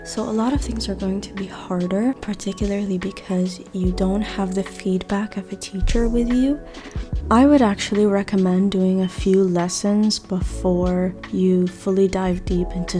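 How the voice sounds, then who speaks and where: A young woman, a native Arabic speaker, hosting her own channel and giving a measured, earnest scripted lesson on learning a language. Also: mid-range voice. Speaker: language teacher and channel host. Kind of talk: scripted educational monologue.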